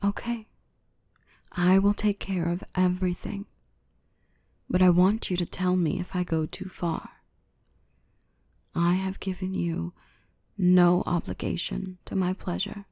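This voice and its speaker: Gentle dominant dark elf , played by a woman: low, breathy-soft ASMR murmur with creaky edges, tender and reassuring. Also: little pitch movement, no echo, clean studio sound. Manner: soothing, unhurried, reassuring, often whispering. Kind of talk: ASMR roleplay, softly whispered and spoken.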